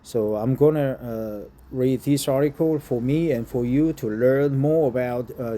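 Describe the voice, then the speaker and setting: A man's voice: mid-range voice, warm tone, a little hiss or hum. A man from Korea speaking English with a Korean accent, chatting and then carefully reading an article aloud outdoors, with frequent hesitations. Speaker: vlogger. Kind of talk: casual talk and reading aloud. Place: outdoor courtyard bench in front of a church.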